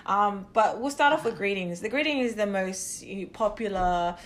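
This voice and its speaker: A woman with an Australian accent, a guest in a casual chat, talking in a relaxed way with lots of "you know" fillers. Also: medium pitch, normal speed. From born in New Zealand, raised in Australia. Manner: chatty, many "you know" fillers, laughs easily.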